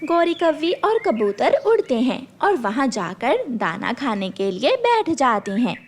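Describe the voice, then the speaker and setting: A woman's voice: high-pitched, sing-song, a little slow. A woman narrating a scripted children's cartoon story.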